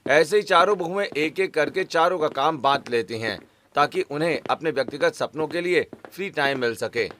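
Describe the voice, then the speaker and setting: A man's voice: a little high, lively intonation, moderate pace, fairly bright tone, a little hiss or hum. A man narrating a scripted cartoon story as its storyteller. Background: background music.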